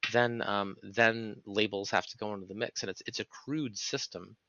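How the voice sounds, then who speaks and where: Bright, creaky-voiced man explaining earnestly. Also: lowish voice, steady tone, moderate pace, dry recording, highs cut off. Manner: earnest, analytical, long explanatory sentences. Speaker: podcast guest. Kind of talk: reflective interview conversation.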